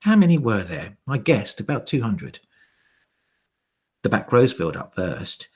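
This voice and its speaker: A man reading a children's story aloud in a dramatic, theatrical way, with hushed, suspenseful dips. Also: fairly low pitch, big pitch swings, unhurried, husky.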